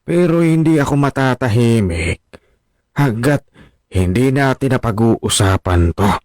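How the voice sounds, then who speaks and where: An actor playing an ailing older father in a radio drama, halting, weary and imploring. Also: medium pitch, lively intonation, a little mellow, dry recording, studio quality, lightly acted. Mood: pleading. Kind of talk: Filipino radio drama acting.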